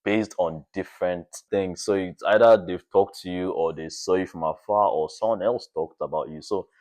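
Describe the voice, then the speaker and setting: A young man chatting casually as a podcast guest, thinking aloud in a halting, anecdotal way. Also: low-pitched, steady tone, a little mellow. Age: young adult. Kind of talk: casual chat.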